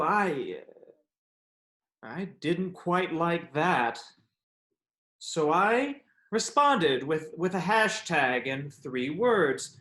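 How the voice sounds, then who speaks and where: A man's voice: sits high, fairly bright tone, exaggerated voice. A man acting a defensive, self-justifying character in a live staged reading, explaining earnestly and hesitantly. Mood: wry, self-justifying. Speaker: actor in a virtual staged reading. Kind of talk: live staged play reading, conversational monologue. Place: indoors, streamed over video webinar.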